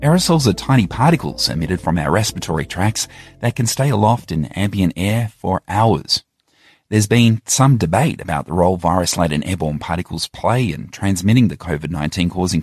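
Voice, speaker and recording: Guy reading a news report off a script, lowish husky voice, measured. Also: no echo, studio quality.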